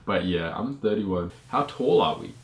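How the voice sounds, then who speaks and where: Low-voiced jokey man in his thirties. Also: animated, normal speed. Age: 30s. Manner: laid-back, jokey, laughs often. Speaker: vlogger, co-host with partner. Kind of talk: casual Q&A chat. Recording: echoey room.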